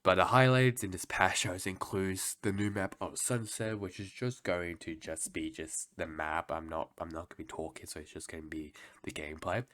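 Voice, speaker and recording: A man's voice: deep voice, fairly even intonation, moderate pace, few pauses, fairly bright tone. A young man who is a bit sick, reading through game patch notes in a casual, hesitant way, often restarting and guessing. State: sick, voice lower than usual. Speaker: gaming video host.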